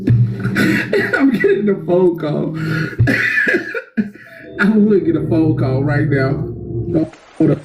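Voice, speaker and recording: A man's voice: on the high side, very animated, drawn-out words, pauses often, a little hiss or hum. A man giving laughing, joking commentary, making gorilla hoots and sounds as he riffs. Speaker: commentator riffing on a video. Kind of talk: comedic live commentary. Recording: roomy echo with music playing. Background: music playing.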